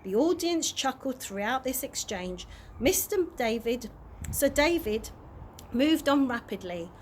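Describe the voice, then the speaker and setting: A woman reading a children's story aloud with expressive, animated narration. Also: high voice, a little slow, slight background noise. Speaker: early years practitioner reading aloud.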